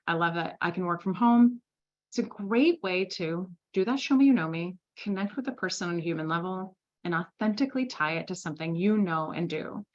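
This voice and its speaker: Brief: woman sales trainer, lively conversational delivery, mid pitch, slightly muffled audio. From Switzerland. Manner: lively, conversational, with frequent "right?" tags. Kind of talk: presenting a masterclass.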